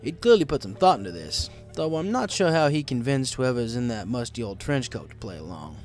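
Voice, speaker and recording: Animated man narrating a story. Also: mid-range voice, fairly quick, faint music behind. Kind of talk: first-person storybook narration with character dialogue.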